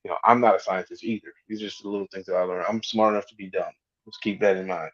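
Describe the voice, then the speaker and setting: A man's voice: low-pitched, fairly even intonation, quick pace, lo-fi sound. A man in a casual discussion over a call, arguing his point and firing back challenging questions. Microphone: video call.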